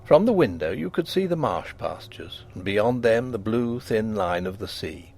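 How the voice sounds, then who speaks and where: A man narrating a gothic ghost story in a measured, expressive reading voice. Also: on the low side.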